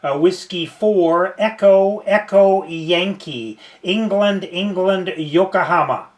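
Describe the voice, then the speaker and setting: A man working a ham radio contact, slowly spelling his call sign in phonetics. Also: high voice. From United States.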